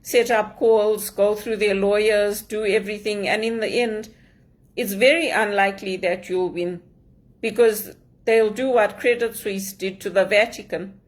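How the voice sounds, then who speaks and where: A woman's voice: medium pitch, fairly even intonation, unhurried. A woman from Zimbabwe in an earnest personal monologue, aggrieved, repeating phrases for emphasis. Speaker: immigrant sharing her story.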